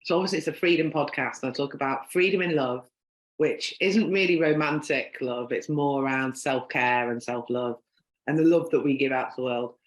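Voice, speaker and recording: A woman's voice: very deep voice, normal speed. A woman with a Northern English accent, hosting a podcast interview in a chatty, rambling way full of "um" and "like". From Northern England. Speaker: podcast host. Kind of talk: casual conversational interview. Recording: echoey room.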